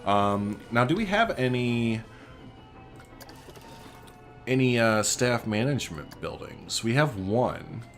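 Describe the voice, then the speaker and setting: A man's voice: mid-range voice, sing-song, takes it slowly, long pauses, soft background music. A man hosting a gaming video, chatting casually and playfully as he thinks aloud through his play. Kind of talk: casual let's play commentary.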